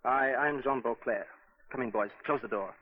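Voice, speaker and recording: A man's voice: medium pitch, normal speed, natural voice. An actor playing an earnest, anxious Frenchman with formal phrasing and a French accent in a 1940s radio drama. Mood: guarded, earnest. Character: earnest, anxious French resistance man in Martinique. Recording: noisy, tinny 1940s radio recording.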